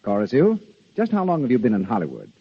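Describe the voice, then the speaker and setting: A man's voice: medium pitch, huge pitch swings, quick pace, a little hiss or hum. A man working as a radio announcer and interviewer, in scripted 1930s advertising style. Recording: dull, reverberant 1930s radio recording.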